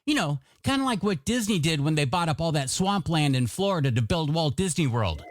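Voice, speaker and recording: A man's voice: on the high side, big pitch swings, fairly quick, no echo, clean studio sound. A man hosting a radio show as a DJ, with showy, playful announcer patter and music playing behind him. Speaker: radio DJ host. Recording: music bed under the voice.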